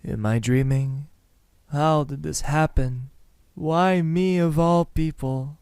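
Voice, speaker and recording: Male actor as young male kidnapper, calm menace, smug, mellow low voice, unhurried soft delivery, sighing, close-mic ASMR roleplay. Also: no echo. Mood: mocking menace. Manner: soft, deliberate, breathy sighs, dropping into whispers. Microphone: close microphone.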